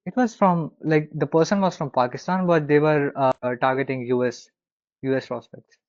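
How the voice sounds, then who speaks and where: A man with an Indian accent, a consulting client speaking haltingly with many fillers on a video call. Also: on the high side, dry recording, highs cut off.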